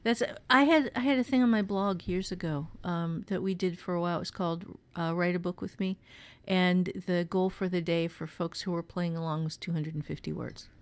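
A woman's voice: lowish voice, steady tone, a little fast, few pauses, smooth. A woman co-hosting a podcast, giving blunt, emphatic writing advice in a conversational way. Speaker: writing teacher and podcast co-host.

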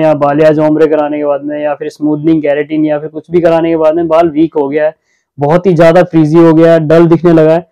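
A man's voice: on the high side, dark tone, no room sound, dull audio. Young man explaining hair care, dry lapel sound. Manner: earnest, explaining with busy hand gestures. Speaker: hair stylist host. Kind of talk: explainer vlog to camera. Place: small indoor studio, plain backdrop. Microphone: lapel mic.

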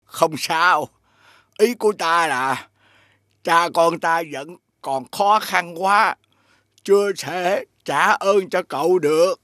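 A humble old father in a children's cartoon. He speaks slowly and gently in a high voice, recorded dry with soft music. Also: no room sound. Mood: warm, humble. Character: kindly, cautious, poor old father. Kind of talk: animated fairy-tale voice acting.

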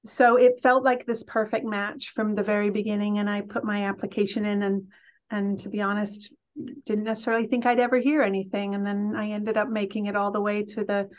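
Chatty middle-aged woman on a video call. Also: medium pitch. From England. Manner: friendly, chatty, many ums and restarts. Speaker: college president, guest speaker. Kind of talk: casual talk on a video call. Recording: dull lo-fi video call audio.

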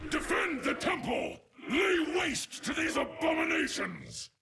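An actor playing a fanatical alien warrior in a video game, declaiming zealously in defence of a temple. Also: male voice, extremely high pitch, sing-song, slow pace, gravelly, heavily acted. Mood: fierce, desperate. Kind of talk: dramatic video game acting. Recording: loud battle noise behind.

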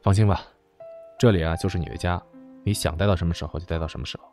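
A man playing a gruff, short-tempered but secretly caring young man in a radio drama, blunt and colloquial. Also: low voice, steady tone, rapid-fire, warm tone, faint music behind. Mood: reassuring, softened.

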